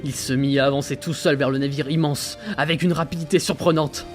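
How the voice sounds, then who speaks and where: An actor as a haunted sailor recounting horrors. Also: male voice, a little high, fairly even intonation, a little fast, natural voice. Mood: tense. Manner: grave, haunted storytelling. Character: frightened male sailor narrating his ghost-ship journal. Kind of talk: dramatic first-person narration in French audio fiction. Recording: loud music under the voice.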